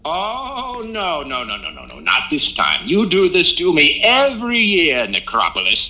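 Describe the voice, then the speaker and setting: A man's voice: fairly high pitch, huge pitch swings, unhurried, sharp tone, full character voice, poor audio. A man playing a smug, petulant show announcer in a campy horror-comedy audio drama, speaking theatrically. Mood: indignant. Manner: theatrical, indignant. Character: gleeful, aggrieved male announcer, campy and petulant.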